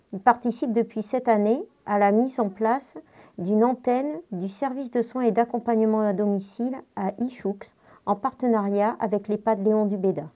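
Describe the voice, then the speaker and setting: A woman's voice: medium pitch, warm tone. A woman reading a scripted institutional address as a local social service official, composed and formal. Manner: composed, formal read-out delivery. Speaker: local social service official giving New Year's wishes.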